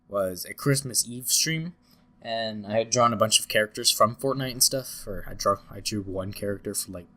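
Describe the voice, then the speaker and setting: A man's voice: fairly low pitch, fairly bright tone. Congested young man hosting a chat. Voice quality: slightly scratchy, nasal. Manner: rambling, many fillers and restarts. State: congested from a cold. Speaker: podcast host. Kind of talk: casual podcast chat.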